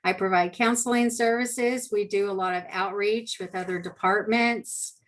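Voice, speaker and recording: A woman's voice: mid-range voice, fairly even intonation, normal speed. Friendly woman trauma counselor from Alaska on a casual panel call; easy laugh. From Southeast Alaska.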